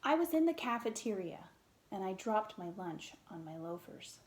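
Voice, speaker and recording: A woman reading a children's picture book aloud in an expressive, theatrical way, with dramatic pauses and emphasis. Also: mid-range voice, echoey room, a little hiss or hum.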